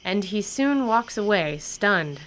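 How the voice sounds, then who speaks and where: A woman narrating and announcing a fantasy radio show in a calm, formal manner. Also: mid-range voice, slow, rough recording. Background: loud music.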